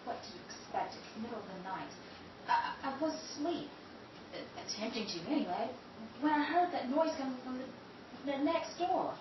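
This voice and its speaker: A woman's voice: high-pitched, animated, moderate pace, recorded from a distance. A woman playing a frightened, hesitant young woman roused at night in a suspense audio drama. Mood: defensive, uneasy. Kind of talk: suspense radio-play acting. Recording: roomy, muffled, noisy, off-mic.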